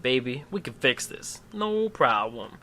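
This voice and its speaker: A man's voice: medium pitch, big pitch swings, unhurried, sharp tone. A man voicing a suave bad-boy celebrity scientist in a comic story, cocky and laid-back. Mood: smooth, confident. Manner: cool, laid-back swagger.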